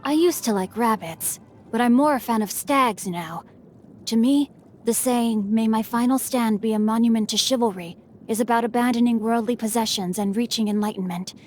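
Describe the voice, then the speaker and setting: A woman's voice: on the high side, moderate pace, a little mellow, a little hiss or hum, natural voice. A woman voicing a bookish, mischievous young noble boy in a video game, speaking in refined, formal phrases with a playful, teasing manner. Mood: wistful. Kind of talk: scripted game character voicelines. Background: background music.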